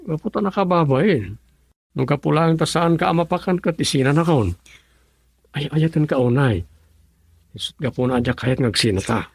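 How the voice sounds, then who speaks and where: An actor playing a poor, devoted husband who pleads and defends himself in an Ilocano radio drama, with a gravelly, husky voice. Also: male voice, fairly high pitch, moderate pace, pauses often, no room sound, clean studio sound. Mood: pleading, devoted.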